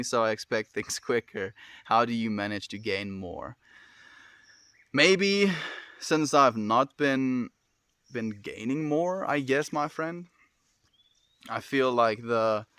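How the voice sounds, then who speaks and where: A young man with a South African accent, hosting a casual livestream, answering chat in a gentle, affectionate way and often calling listeners "my friend". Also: medium pitch, clean tone, no echo. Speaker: livestream host reading chat.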